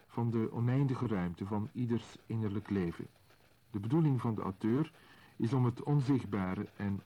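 A man presenting on radio, reading a scripted introduction in a measured, explanatory way. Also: lowish voice, mellow.

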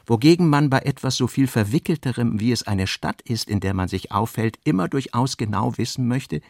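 A man reading literary prose as a radio play narrator, measured and articulate. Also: medium pitch, expressive, a little mellow, dry recording, studio quality.

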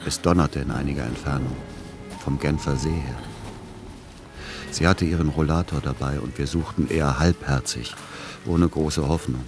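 An actor playing a middle-aged, exasperated literary aesthete son who also narrates a radio play in measured words. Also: male voice, extremely low pitch, fairly even intonation, music in the background. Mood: melancholic, reflective.

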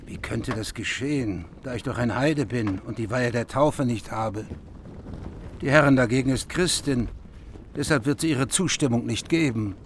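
A male actor plays a heathen king of legend in a radio drama, longing to win a widow's hand. The voice sits at a middle pitch with a gravelly grain. There is faint noise behind it. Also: moderate pace, lightly acted. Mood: doubtful.

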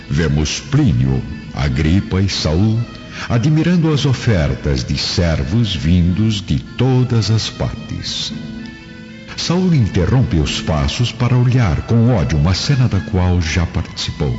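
A man delivering solemn, scripted narration for a radio drama. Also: low voice. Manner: solemn storytelling. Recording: muffled, echoey reverb, music behind.

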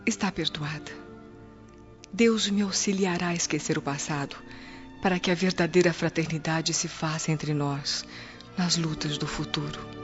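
A woman's voice: deep voice, fairly even intonation, moderate pace, close to an everyday voice. An actress playing a devout, forgiving woman in a solemn, earnest radio soap opera style. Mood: solemn forgiveness. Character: forgiving, devout woman, a daughter-in-law in spirit form. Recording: dull lo-fi audio under loud music.

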